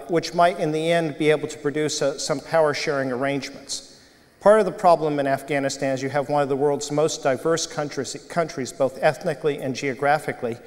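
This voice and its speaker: An older man on a debate panel speaks in a somewhat high voice, pausing and hedging with fillers as he builds his argument. His lapel mic picks him up in a large hall whose reverb trails behind each phrase. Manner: measured, hesitant with many fillers. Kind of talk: formal debate answer. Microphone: lapel mic at desk.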